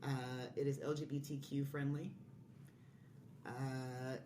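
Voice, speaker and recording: A woman's voice: a bass voice, level tone, slow, warm tone. A woman explaining things casually as a podcast guest, recorded in a room. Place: indoor room, recorded in person. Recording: roomy, distant mic, faint noise.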